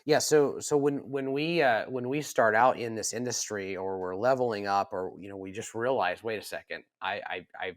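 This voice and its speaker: A man with a fairly low voice talks through ideas in a casual podcast chat, earnest and animated, often doubling back on his words. The sound is dry and close, with no room around it. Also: moderate pace, few pauses, dry recording. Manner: earnest, animated, frequent restarts and repeated words. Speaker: podcast co-host.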